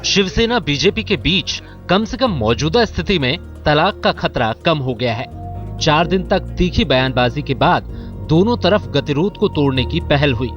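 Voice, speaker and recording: A man reading a scripted news report in a steady, formal way. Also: a little high, normal speed. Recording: muffled news audio over music.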